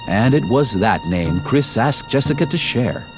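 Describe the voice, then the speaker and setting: An actor voicing a folksy, earnest, kindly storybook figure in a cartoon video game. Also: male voice, medium pitch, lively intonation, normal speed, close to an everyday voice. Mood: folksy, narrating. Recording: music behind the voice.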